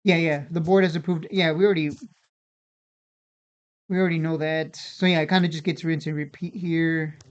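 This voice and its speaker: Casual trading-channel host, mid-pitched, informal and repetitive, on slightly lo-fi audio. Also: little pitch movement, normal speed, pauses often, smooth. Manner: informal, repeats and restarts phrases. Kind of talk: casual unscripted market commentary.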